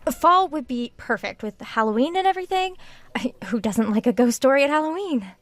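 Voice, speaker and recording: High-voiced earnest author in dry sound. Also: female voice, lively intonation, a little fast, no echo. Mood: conversational. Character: earnest, stubborn woman author, pleading and frustrated. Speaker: actor. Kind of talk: audio drama dialogue.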